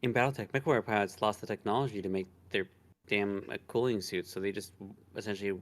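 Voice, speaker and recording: A man's voice: lowish voice, steady tone, fast, a bit bright. A man co-streaming with a friend in casual, teasing banter.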